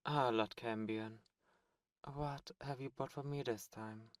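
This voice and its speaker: Casting: a man playing a suave, cold demon prince, very slow and deliberate, dry close sound. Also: medium pitch, steady tone, dry recording, lightly acted. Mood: gracious. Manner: silky, condescending, menacing. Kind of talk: ASMR roleplay audio drama.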